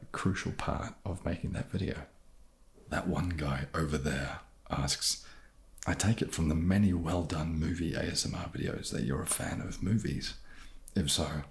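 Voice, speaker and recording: A soft-spoken man casually answering viewer questions in a gentle, wry way. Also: deep voice, vocal fry. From New Zealand.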